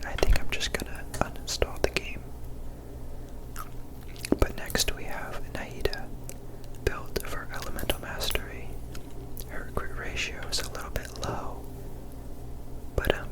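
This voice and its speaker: A gaming host whispering breathy ASMR commentary over gameplay, gentle and unhurried, close to the microphone. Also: low-quality recording.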